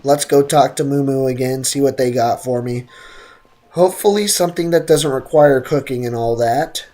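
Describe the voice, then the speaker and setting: A man's voice: mid-range voice, moderate pace, warm tone. A young man giving casual live gameplay commentary as a streamer, slangy, joking and sarcastic, often repeating his phrases. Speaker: game streamer. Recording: game music behind. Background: video game music.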